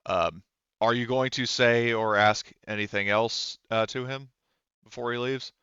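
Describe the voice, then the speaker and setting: A man narrating a tabletop roleplaying game as game master, in a halting, conversational way, rephrasing as he goes. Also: mid-range voice, steady tone, sharp tone, clean tone, dry recording, dull audio.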